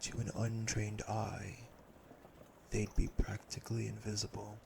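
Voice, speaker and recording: A man playing a cold, commanding vampire elder brother in an audio roleplay, speaking formally and sternly with a gravelly voice. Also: lowish voice, monotone, unhurried, background noise. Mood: cold, probing. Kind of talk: audio roleplay drama acting.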